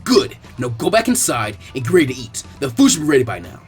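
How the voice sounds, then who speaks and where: A man voicing a stern, angry male ninja commander, gruff and coarse, in a story reading. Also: mid-range voice, very animated, quick pace, soft background music, lightly acted. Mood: stern anger.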